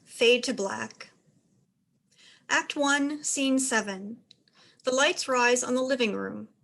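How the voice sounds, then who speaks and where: High-pitched woman reading stage directions. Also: slow, creaky, a bit bright. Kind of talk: scripted narration.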